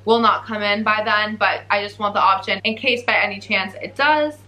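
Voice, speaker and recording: A woman's voice: mid-range voice, fairly even intonation, normal speed, pushed and bright. A young Canadian woman vlogger with a Canadian accent, explaining items one by one in an upbeat way. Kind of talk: show-and-tell packing vlog.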